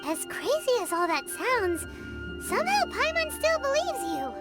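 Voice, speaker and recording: Game role: tiny blunt fairy girl, extremely high squeaky pitch, swooping delivery, loud music underneath. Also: very animated, normal speed. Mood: blunt, indignant. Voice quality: squeaky, childlike. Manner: refers to self by name, blunt. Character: cheeky tiny floating fairy girl companion. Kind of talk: video game voice acting.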